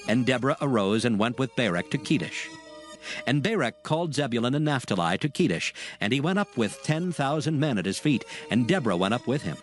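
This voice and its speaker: A man's voice: medium pitch, expressive, fairly quick, faint music behind, close to an everyday voice. A man narrating dramatized scripture as a solemn storyteller, reading scripted text.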